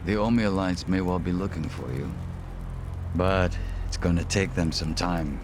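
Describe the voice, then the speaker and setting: Deep, coolly menacing underworld man played by an actor. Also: lightly acted. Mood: calm menace. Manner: measured, polite menace. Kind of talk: English video game cutscene acting. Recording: music behind the voice.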